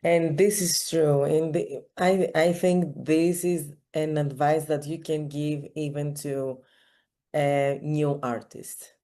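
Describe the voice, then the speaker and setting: Female interview host, lower pitch, markedly slow pace, casual and halting phrasing. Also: little pitch movement, dark tone.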